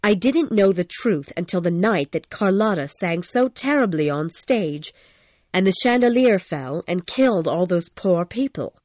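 A woman's voice: on the low side, expressive, measured pace, a little mellow, no room sound. A woman voicing a frightened young female opera singer in an audiobook, with earnest, pleading delivery. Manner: earnest, pleading dialogue reading. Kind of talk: audiobook dialogue acting.

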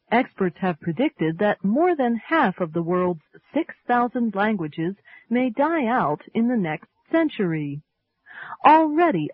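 A woman reads English lesson material slowly, her pitch rising and falling in a lively, expressive way. The sound is dry and thin, like radio heard through a narrow, phone-like band. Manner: slow, clearly enunciated, lively intonation. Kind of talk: scripted textbook reading.

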